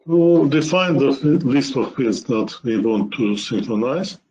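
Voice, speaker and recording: Male webinar presenter, very unhurried and halting, medium pitch, walking through software steps. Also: animated, poor audio. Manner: halting, step-by-step explaining in short phrases.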